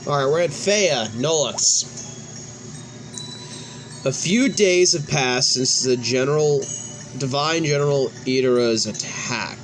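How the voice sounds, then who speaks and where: Male gaming host, mid-pitched, reads story dialogue aloud with the odd stumble, music behind him. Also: takes it slowly, long pauses, rough recording. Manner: stumbles over and restarts words while reading. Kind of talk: reading game cutscene dialogue aloud in a let's play.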